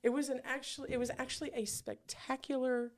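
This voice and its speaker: A woman's voice: sits high, level tone, fairly quick. A middle-aged woman hosting an interview, chatting casually and rambling with many fillers and restarts. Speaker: podcast host.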